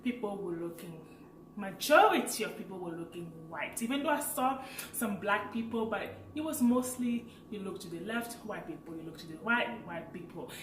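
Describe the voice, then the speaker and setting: A woman's voice: mid-range voice, moderate pace, recorded from a distance, a little hiss or hum. Chatty French-accented woman in an echoey room. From Africa. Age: late 20s. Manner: chatty and animated, laughs while telling stories. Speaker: vlogger. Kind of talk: casual personal storytelling.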